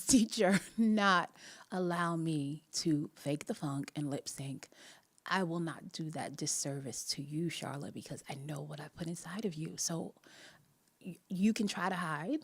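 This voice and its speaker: Laughing Jamaican woman telling her story. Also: low-pitched. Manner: laughs often, animated, many restarts and asides. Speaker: podcast host. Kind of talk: casual faith talk, personal storytelling.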